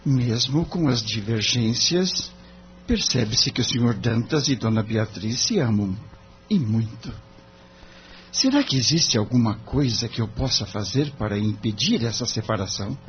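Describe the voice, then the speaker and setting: A man's voice: medium pitch, lively intonation, unhurried, lightly acted. A man playing an earnest, courteous young lawyer in an audio drama, polite and thoughtful. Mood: pensive. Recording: dull recording with faint hum.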